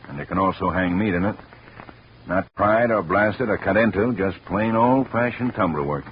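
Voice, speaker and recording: An actor playing a gruff, matter-of-fact police lieutenant in a 1950s radio detective drama. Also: male voice, low voice, normal speed, close to an everyday voice. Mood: grudgingly impressed. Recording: muffled old radio recording, faint noise.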